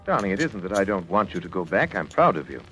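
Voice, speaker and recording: An actor playing a troubled, formal middle-aged doctor in 1940s radio drama, speaking with deliberate emphasis. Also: male voice, low-pitched, big pitch swings. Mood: concerned, placating. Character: troubled middle-aged husband, a doctor and psychiatrist, gravely insistent. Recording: old radio broadcast with loud music behind.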